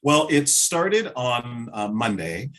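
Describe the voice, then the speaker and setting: Reflective man with a mid-pitched voice. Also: very animated, slow pace, a bit bright. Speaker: interview guest, play director. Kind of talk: conversational interview answers.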